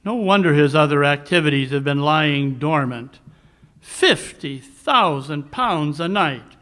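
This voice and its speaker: A man acts out a cool, superior male detective for a live radio play. His voice sits a little high. He speaks in formal, deliberate sentences with a dry, commanding air. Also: lively intonation, sharp tone. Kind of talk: 1940s radio mystery acting, performed live.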